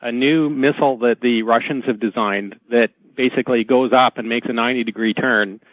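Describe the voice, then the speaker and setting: A man as a radio guest, explaining things haltingly and often repeating words. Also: mid-range voice, steady tone, no echo. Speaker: talk show guest. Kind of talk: explanatory radio interview talk. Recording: dull, lo-fi dry radio feed.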